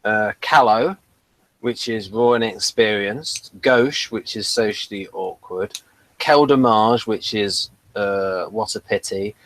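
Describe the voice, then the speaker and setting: A man reviewing in casual, unscripted talk, explaining things in a halting way. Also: lowish voice, a bit bright, low-quality recording. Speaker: TV episode reviewer.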